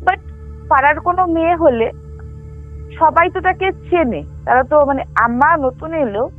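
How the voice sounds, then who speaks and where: A woman telling a ghost story casually as a caller, halting, repeating and correcting herself as she goes. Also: sits high. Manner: halting, repetitive, self-correcting. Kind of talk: casual spoken storytelling with frequent check-in questions. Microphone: phone line. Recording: tinny phone call with music underneath. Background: music.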